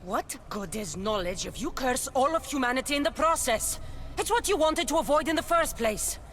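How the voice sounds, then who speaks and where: A woman's voice: high voice, lively intonation, moderate pace, fairly bright tone. An actress playing a determined, principled female warrior hero in a video game cutscene, firm and earnest.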